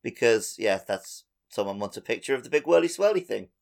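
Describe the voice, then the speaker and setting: A man's voice: lowish voice, sing-song, a little fast, vocal fry. A man co-hosting a video game podcast, chatting casually in an informal, laid-back manner. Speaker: podcast co-host.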